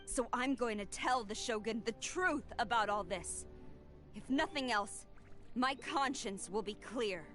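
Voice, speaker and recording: A woman's voice: on the high side, measured pace, faint music behind. An actress playing a stern, loyal young woman general who speaks firmly and righteously, in a video game. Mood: resolute. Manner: firm, righteous, forceful.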